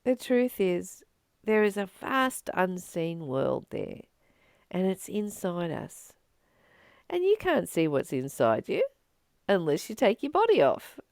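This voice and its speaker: A woman reading from a spiritual book and commenting on it informally, in an earnest, emphatic manner with deliberate pauses. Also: lowish voice, huge pitch swings, creaky, a little mellow, no room sound, studio quality.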